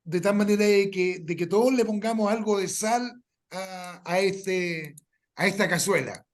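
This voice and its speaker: A man co-leading a workshop, explaining informally in a hesitant, halting way. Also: high-pitched. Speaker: workshop co-leader.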